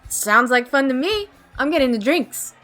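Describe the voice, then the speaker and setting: A woman's voice: high voice, sing-song, moderate pace, fairly bright tone, faint music behind. A woman playing a breezy young party-goer in an audio drama, dry and casual. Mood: breezy, teasing.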